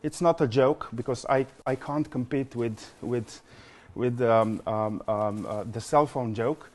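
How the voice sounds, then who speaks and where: A man's voice: medium pitch, a little slow, a little mellow. Hesitant Slovenian-accented man presenting. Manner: hesitant, frequent fillers and repeated words. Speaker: conference speaker. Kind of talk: conference presentation.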